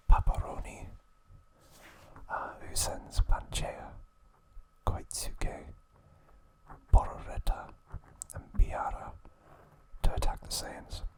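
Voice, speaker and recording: A man speaks entirely in a breathy, unvoiced whisper, drawing out each phrase slowly for an ASMR recap. He trips now and then over unusual names and quietly corrects himself before moving on. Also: poor audio. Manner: slow, unvoiced whisper with small slips and mispronunciations. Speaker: ASMR host.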